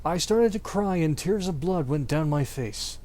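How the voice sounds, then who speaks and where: A man's voice: a little high, lively intonation, unhurried, mellow. A man giving an exaggerated dramatic reading of fan fiction, prone to stumbles and breaking up. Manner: theatrical, exaggerated delivery. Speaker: amateur reader.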